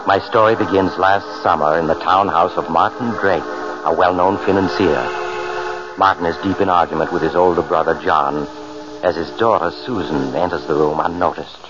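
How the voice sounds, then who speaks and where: An actor playing a mysterious, suave storyteller who narrates slowly and ominously in old-time radio style. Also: male voice, deep voice, fairly even intonation, natural voice. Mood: ominous, inviting. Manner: slow, deliberate, ominous. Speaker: host and narrator of a mystery anthology. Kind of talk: 1940s radio acting, scripted narration. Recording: old radio recording, muffled, loud music behind.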